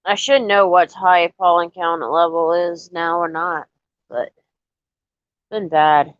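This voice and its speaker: A woman with a lowish voice rambles easily through a casual live stream, greeting viewers as she goes. She sounds a bit stuffy and nasal from allergies. The audio is dull and dry, like a lo-fi home stream. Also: takes it slowly, dry recording. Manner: rambling, relaxed, talks straight to chat. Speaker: livestreamer chatting with viewers.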